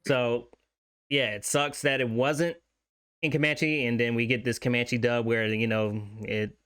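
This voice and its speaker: A man's voice: mid-range voice, flat delivery, unhurried, a bit bright, clear, resonant tone, no room sound, studio quality. A man co-hosting a movie review, speaking casually and bluntly with heavy swearing. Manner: blunt, heavily profane. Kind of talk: casual film discussion.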